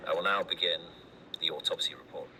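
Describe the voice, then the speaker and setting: A low-pitched male doctor, played by an actor, dictates a grim horror report. He is formal, clinical and detached, choosing his words deliberately. The tape is clearly noisy. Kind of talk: scripted analog horror narration, recorded report.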